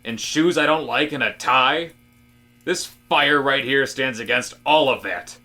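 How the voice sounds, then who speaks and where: Guy playing office worker who gets all deep and dramatic, bright punchy voice, ranty, audio drama comedy. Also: a little slow. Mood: solemn, grand. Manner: dramatic musing turning to exasperated ranting. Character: earnest male office worker philosophizing grandly about fire.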